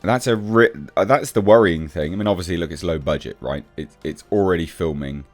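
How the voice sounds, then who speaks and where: Male reviewer, low-pitched voice, blunt sceptical off-the-cuff commentary over clear background music. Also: fairly quick, dry recording. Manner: blunt, sceptical, swears casually. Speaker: film reviewer, channel host. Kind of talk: casual off-the-cuff commentary on film news.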